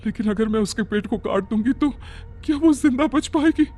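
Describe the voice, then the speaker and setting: Man voicing an anxious, high-pitched village aunt. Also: a little fast, mellow. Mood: horrified. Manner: urgent, pleading. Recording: background music under the voice.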